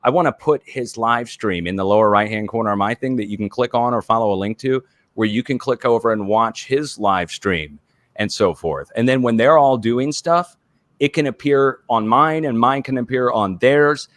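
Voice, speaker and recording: Forties man, earnest rambler, dry close call audio, mid-pitched voice. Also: moderate pace, fairly bright tone, no echo. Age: 40s. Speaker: earthquake livestreamer. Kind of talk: casual video call chat.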